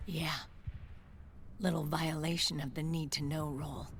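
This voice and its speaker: An actress playing a tough, bitter young squad commander in a video game, curt and blunt, with an American accent. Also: female voice, sits low, little pitch movement, measured pace, creaky, a little hiss or hum. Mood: bitter, scornful. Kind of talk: dramatic video game voice acting.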